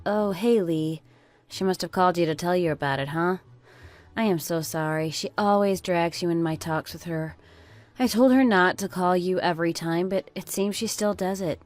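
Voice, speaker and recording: A woman's voice: on the low side, moderate pace, a little mellow, natural voice. A woman playing an apologetic but indignant working mother in a conversational audio drama.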